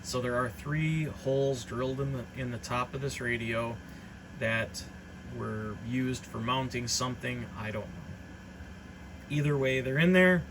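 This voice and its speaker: A man's voice: medium pitch, unhurried, background noise. A man giving an informal, unscripted hobbyist product demonstration in a rambling, matter-of-fact way. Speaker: hobbyist radio reseller presenting a demonstration.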